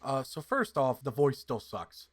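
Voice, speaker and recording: A man's voice: medium pitch, big pitch swings, unhurried. A man hosting a podcast, giving opinionated casual reviews in an emphatic, sweary way. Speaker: podcast host.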